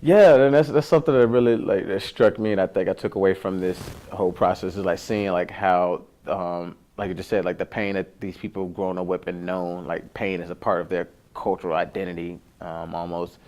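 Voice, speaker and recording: A man's voice: sits low, steady tone, fairly quick, few pauses, darkish tone. A man, an actor being interviewed, talking casually and hesitantly with lots of "like". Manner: hesitant, heavy use of "like".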